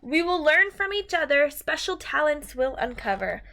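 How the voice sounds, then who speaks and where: Female early-years teacher, high voice, sing-song storytime delivery for little kids, slightly noisy home recording with clicks. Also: sing-song, normal speed, bright, forceful tone. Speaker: teacher reading a picture book aloud to young children. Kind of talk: read-aloud storytime for children.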